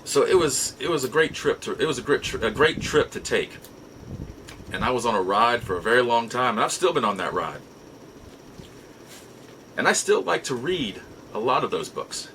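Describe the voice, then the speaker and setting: American guy, bright crisp-edged voice, rambling nostalgic storytelling, stops and starts a lot, faint noise in the recording. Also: medium pitch, sing-song, low-quality recording. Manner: halting, reflective, with drawn-out pauses. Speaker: podcast host. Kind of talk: casual nostalgic storytelling monologue.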